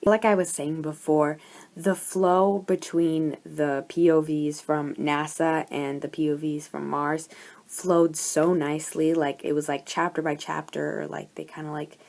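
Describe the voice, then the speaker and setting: A woman with a hoarse voice from a cold, chatting and gushing in a casual book review vlog, full of "like" fillers. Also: deep voice, flat delivery, measured pace, darkish tone. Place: at home.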